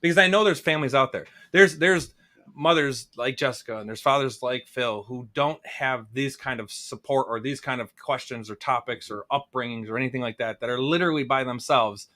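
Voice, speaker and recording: Male co-host, relaxed, inquisitive. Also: mid-range voice, fairly quick. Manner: teasing, then probing with questions. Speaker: talk show co-host. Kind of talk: casual chat.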